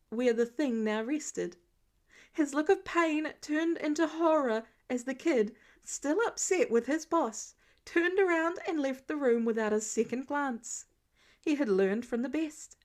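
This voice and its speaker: A woman with a high voice reads a story aloud. Her delivery is scripted and expressive, in the manner of an amateur audiobook narrator. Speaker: podfic reader.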